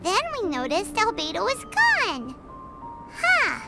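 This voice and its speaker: A woman voicing a small, excitable, childlike fairy companion in a video game, chatty and blunt. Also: extremely high pitch, slow pace, loud music behind the voice, heavily acted. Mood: puzzled. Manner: exclaiming, childlike, expressive.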